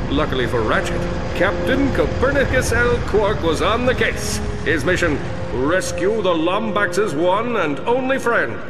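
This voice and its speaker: Pompous, bumbling space superhero. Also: male voice, fairly high pitch, big-room sound. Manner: pompous, grandiose, overdramatic. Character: pompous, cowardly, bumbling middle-aged male space superhero. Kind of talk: animated game cutscene acting, mock-heroic.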